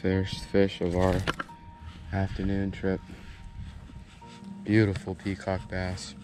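A man's voice: low-pitched, completely flat delivery, slow pace. A young man outdoors giving upbeat, casual commentary on his fishing trip and calling out to his viewers, recorded on a body-worn action camera. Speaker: fishing vlogger, host. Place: outdoors on a grassy canal bank. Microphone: wide-angle action camera on his body. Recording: music playing under the voice. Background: music.

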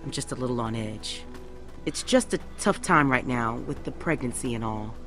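A woman playing a short-tempered, worn-down pregnant survivor in a video game, frank and a little halting. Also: very deep voice, fairly bright tone, soft background music. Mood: weary, confiding.

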